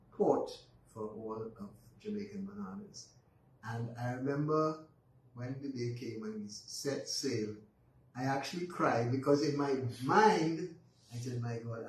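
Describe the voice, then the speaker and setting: A man in his eighties with a Barbadian accent, telling stories of his life as a guest in an unhurried way, often pausing with ums and restarting. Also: medium pitch. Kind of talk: reminiscing storytelling in conversation. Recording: roomy echo, distant mic, faint noise.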